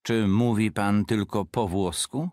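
Male course reader, low-pitched, careful and clear, dry studio sound. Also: fairly even intonation, measured pace, a little mellow, no echo. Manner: careful, clear phrase-by-phrase delivery. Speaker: Polish-side speaker of a language course. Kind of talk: slow scripted phrase reading.

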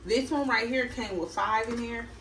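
A woman's voice: on the high side, normal speed, background noise. A woman, chatting casually to her viewers as she cooks at home. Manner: chatty, addresses viewers as y'all. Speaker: vlogger. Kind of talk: casual cook-with-me chat. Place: small home kitchen. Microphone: camera across the kitchen. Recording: roomy kitchen audio from a distant camera, running water.

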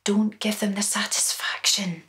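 A Scottish woman voicing a steely, proud mother who speaks in a hushed, clenched way in a story reading. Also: medium pitch, steady tone, unhurried, in a whisper, natural voice. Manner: hushed, through clenched teeth. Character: steely, proud mother urging her son on.

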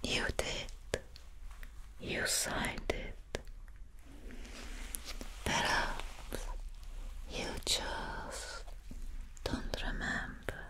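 Woman playing a whispering, very slow vampire nurse. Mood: hushed, mysterious. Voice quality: breathy, unvoiced whisper. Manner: slow, soothing, drawn-out phrasing. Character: soothing, mysterious female vampire nurse serving her mistress. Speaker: ASMR performer. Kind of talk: ASMR roleplay, personal attention. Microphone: close microphone.

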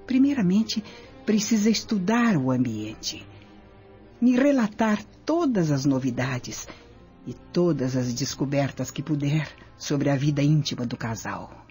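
A woman's voice: low-pitched, big pitch swings, mellow, faint music behind. Vengeful, scheming Roman noblewoman, played by a woman. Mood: commanding, calculating. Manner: imperious, deliberate. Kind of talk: radio drama acting.

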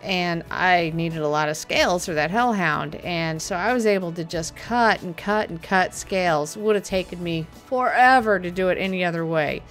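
A middle-aged woman giving a casual, chatty product review from her workshop, upbeat and quick to laugh, with plenty of uh fillers. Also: lowish voice, fairly bright tone, faint music behind. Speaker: prop maker reviewing a tool. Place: home workshop studio, indoors.

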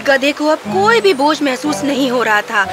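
Lady telling a kids story, high pitched n super expressive, music behind. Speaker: story narrator. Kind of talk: scripted children's story narration.

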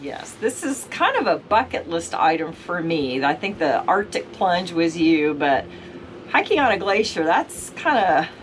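A woman's voice: lowish voice, big pitch swings, a little slow, slight background noise. A woman in her fifties chatting on a casual travel vlog, teasing and talkative, recorded on an action camera. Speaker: travel vlogger, co-host. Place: outdoors and inside a motorhome.

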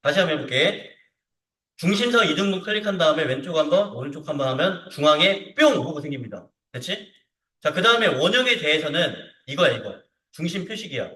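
A man teaching software step by step in an informal way, often checking in with short tag questions. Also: a little high, expressive, brisk. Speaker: CAD instructor. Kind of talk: hands-on software tutorial to students. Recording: roomy echo, distant mic.

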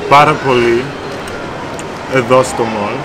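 A man's voice: medium pitch, steady tone. A young man with a Cypriot Greek accent, joining a friend's vlog with short teasing remarks inside a mall. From Cyprus. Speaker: co-host friend. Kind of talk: casual vlog chat. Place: indoor shopping mall. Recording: noisy mall ambience.

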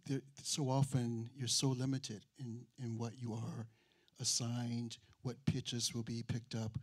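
A middle-aged man, speaking reflectively in a panel discussion, pausing and rephrasing as he goes into a handheld mic. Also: mid-range voice, level tone, breathy edge. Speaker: writer and critic on a panel. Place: auditorium stage. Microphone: handheld microphone held near mouth.

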